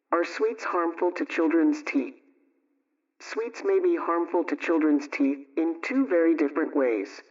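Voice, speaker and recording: Man acting as instructive radio homemaking aunt, scripted, measured delivery, distant and dull radio-style recording. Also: a little high, a little mellow, lightly acted.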